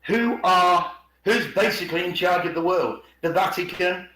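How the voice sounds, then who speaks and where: A man's voice: sits high, moderate pace, pushed and bright. A man giving an informal presentation with commentary over a video call, often asking rhetorical questions and repeating phrases for emphasis. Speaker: video channel host. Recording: echoey video call audio.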